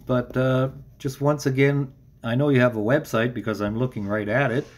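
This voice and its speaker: A man's voice: medium pitch, steady tone, normal speed. A man hosting an interview in a casual, conversational style, feeling his way through loosely phrased questions and remarks. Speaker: interview host.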